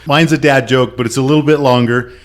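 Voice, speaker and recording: A man's voice: mid-range voice, fairly even intonation, fast, a crowd in the background. A man on a casual podcast telling a long joke and acting out its quotes. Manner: storytelling with quoted voices.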